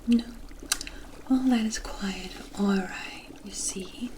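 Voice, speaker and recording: A woman playing a kindly forest witch in an ASMR roleplay, gentle and reassuring, with formal storybook phrasing. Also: mid-range voice, slow, noisy background, lightly acted. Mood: gentle, confiding. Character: kindly forest witch brewing a protective potion.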